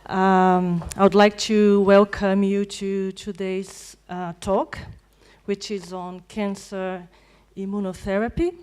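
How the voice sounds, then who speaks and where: A woman with a Brazilian accent, hosting and introducing a university lecture in a hesitant manner with frequent pauses. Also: medium pitch, level tone, a little mellow, clear, resonant tone. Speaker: event host introducing the speaker. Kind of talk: formal event introduction.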